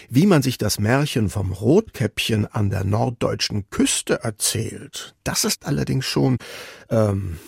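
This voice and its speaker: A man with a very gravelly, husky voice presenting a scripted radio feature in a wry, wordy manner. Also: fairly low pitch, darkish tone, no echo, studio quality. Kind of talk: scripted narration, feature moderation.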